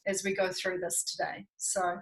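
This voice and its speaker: A woman in her thirties from New Zealand with a New Zealand accent, co-hosting a casual chat in a relaxed, reassuring, fluent way. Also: on the low side, moderate pace. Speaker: co-host.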